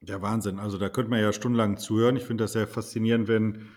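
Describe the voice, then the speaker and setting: A man's voice: on the low side, little pitch movement, quick pace, a little mellow. A man hosting a podcast, asking long, admiring questions with fillers and small restarts. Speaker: podcast host. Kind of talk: conversational podcast interview.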